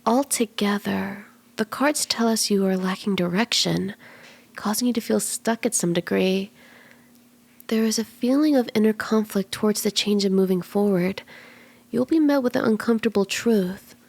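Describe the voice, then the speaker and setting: A woman voicing a flirty, soothing kitsune fortune teller in an ASMR roleplay, speaking gently and coaxingly, at times in breathy whispers. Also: medium pitch, moderate pace, dry recording. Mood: soothing, mystical. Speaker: tarot reader.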